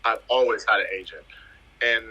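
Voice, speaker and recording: A man in his thirties from the US Virgin Islands tells his football story in a relaxed interview. His voice is very bright and edged with husk, and he leans on repetition for emphasis. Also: on the low side, moderate pace, husky, highs cut off. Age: 30s. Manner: animated, emphatic, repeats phrases for effect. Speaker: footballer guest.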